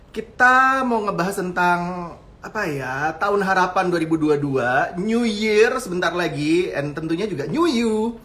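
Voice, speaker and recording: A man's voice: a little high, fairly bright tone. A man hosting a casual live-stream chat as a fashion and beauty editor, talking in an upbeat, chatty way and stretching his phrases with fillers. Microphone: video call.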